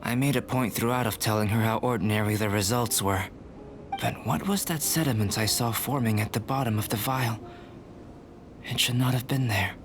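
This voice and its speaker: An actor playing a calm, soft-spoken young alchemist who is an artificial being, speaking in a measured, reflective way in a game cutscene. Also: male voice, medium pitch, background noise, close to an everyday voice. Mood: earnest. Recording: game soundtrack music behind.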